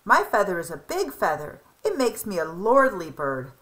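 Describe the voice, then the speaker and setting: A woman's voice: medium pitch, sing-song, moderate pace, fairly bright tone, poor audio. A woman voicing a pompous, lordly rooster in a children's storybook, boastful and dismissive.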